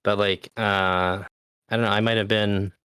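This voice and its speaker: A man's voice: on the low side, level tone, measured pace, sharp tone, clean tone, no echo. A man in his thirties chatting casually, loose and talkative, swearing now and then. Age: 30s. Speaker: podcast host. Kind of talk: casual chat.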